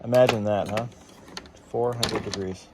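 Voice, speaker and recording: A man's voice: lowish voice, steady tone, a little slow, very noisy. A man hosting a hobby repair channel, talking casually to viewers in a rambling, wry way with sarcastic asides.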